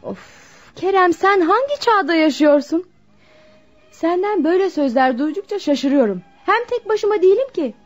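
A woman's voice: very high voice, expressive, moderate pace, soft background music. An actress playing a modern, rich young woman in a radio drama, playful, coaxing and coquettish. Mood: pouting, coaxing. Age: young adult. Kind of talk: Turkish radio drama acting. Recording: dull, lo-fi radio drama recording.

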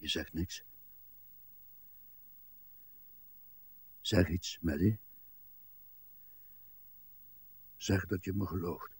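An actor playing a weary, self-absorbed old husband in a literary radio drama, telling things in a dour, hesitant way with a husky voice. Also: male voice, deep voice, full character voice. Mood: pleading. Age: older. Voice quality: husky, breathy. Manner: dour, deliberate storytelling with hesitations.

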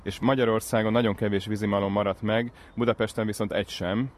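Lowish-voiced man guiding outdoors. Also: little pitch movement. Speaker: local expert guide interviewed on site. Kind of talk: explanatory interview on location. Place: outdoors on location.

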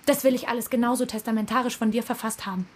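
A woman's voice: fairly high pitch, steady tone, rapid-fire, close to an everyday voice. An actress playing a cool, calculating, bossy female accomplice in a German radio drama, speaking in a sardonic, matter-of-fact way. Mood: demanding. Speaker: supporting character.

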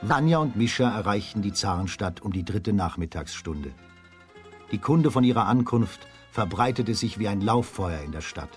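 A man giving measured, scripted storytelling narration for a children's radio drama. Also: lowish voice, lively intonation, soft background music.

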